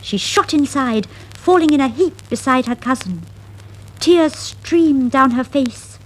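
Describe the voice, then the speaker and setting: A woman narrating a scripted children's fable in a dramatic, expressive storytelling style. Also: high voice. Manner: dramatic, expressive, deliberate phrasing. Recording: noisy 1960s vinyl record.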